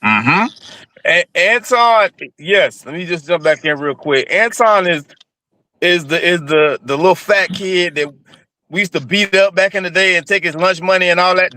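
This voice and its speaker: Bright, sharp-toned man, dry close sound. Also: high voice, no echo. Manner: quick, agreeable interjections. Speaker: co-host. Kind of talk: casual opinion chat.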